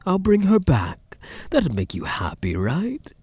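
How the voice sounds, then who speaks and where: A man performs a possessive, doting vampire count in an intimate ASMR roleplay. The voice is dark and breathy, murmured slowly and slipping often into whispers, with tenderness under the menace. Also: a little high, wildly swooping pitch, no room sound, exaggerated voice. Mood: gentle, reassuring. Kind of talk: soft-spoken ASMR roleplay.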